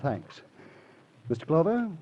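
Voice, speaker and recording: Urbane lawyer, muffled recording. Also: male voice, on the high side, very animated, slow. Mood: matter-of-fact. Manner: offhand, polished, evasive. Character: urbane, breezy, dapper male lawyer, casually blunt. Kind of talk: 1950s radio crime drama acting. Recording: muffled old radio recording with noise.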